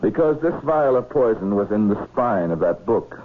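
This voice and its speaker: Actor as low-voiced investigator, tinny radio sound. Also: male voice, lively intonation, close to an everyday voice. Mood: probing, firm. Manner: measured, probing, businesslike. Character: cool, methodical male investigator questioning a murder suspect. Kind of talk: vintage radio drama acting. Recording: phone-like, noisy vintage radio recording.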